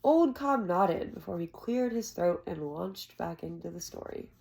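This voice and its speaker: A woman with an American accent reading a fantasy novel aloud as expressive scripted narration. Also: on the low side, a little slow, creaky. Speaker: amateur audiobook reader.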